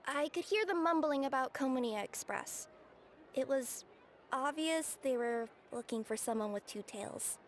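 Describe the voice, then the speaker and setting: A woman's voice: high voice, expressive, unhurried, slight background noise. A woman voicing an earnest young female cat yokai courier who is flustered and eager to please, in an anime-style video game. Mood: evasive. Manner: flustered, hesitant, apologetic.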